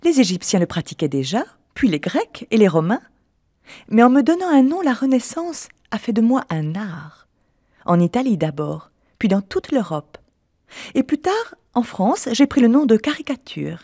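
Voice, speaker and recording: A woman's voice: on the high side, very animated, normal speed, mellow, breathy edge, no echo, studio quality, full character voice. A woman voicing caricature personified as a playful, boastful female art form, narrating in character with a teasing manner. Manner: mischievous, teasing, laughs at her own exaggerations. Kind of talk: scripted documentary narration in character.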